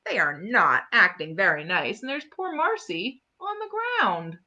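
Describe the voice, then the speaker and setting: A woman reading a children's bedtime story aloud expressively, pausing to chat to her young listeners. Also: mid-range voice, sing-song, bright, forceful tone, dull audio.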